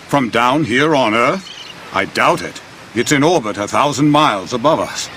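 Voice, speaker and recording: A man's voice: medium pitch, animated, moderate pace, a little hiss or hum, lightly acted. An actor playing a solemn, commanding male leader in a video game, with a grave and portentous delivery. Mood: dry, doubtful. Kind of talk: video game cutscene acting. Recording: loud music over the voice.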